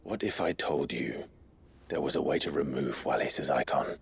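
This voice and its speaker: A man playing a grim but compassionate warrior in a video game, speaking in a husky, measured, earnest voice. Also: a bass voice, lively intonation, exaggerated voice. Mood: grave, earnest. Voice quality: husky, gravelly. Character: grim, compassionate male warrior, adult, quietly resolute. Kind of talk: video game cutscene acting.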